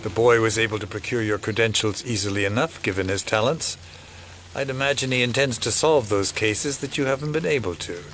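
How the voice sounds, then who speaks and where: An actor playing a cynical, world-weary older conspirator, measured, fatalistic and wry, in an audio drama. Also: male voice, lowish voice, fairly bright tone, a little hiss or hum, close to an everyday voice. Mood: matter-of-fact.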